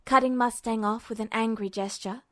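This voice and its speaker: Woman reading a fanfic out loud, high voice, steady, super dry close sound. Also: moderate pace, dry recording. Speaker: fanfiction reader. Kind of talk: podfic narration.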